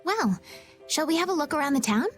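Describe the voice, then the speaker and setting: A woman's voice: sits high, expressive, brisk, faint music behind. A woman voicing a sweet, polite, idealistic young lady in a video game, with proper phrasing. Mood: hopeful, excited.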